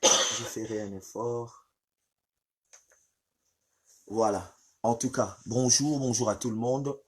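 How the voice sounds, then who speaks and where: Halting man with a head cold. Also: medium pitch, a bit bright, breathy edge. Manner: halting, many fillers and restarts. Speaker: live stream host. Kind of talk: casual live stream talk.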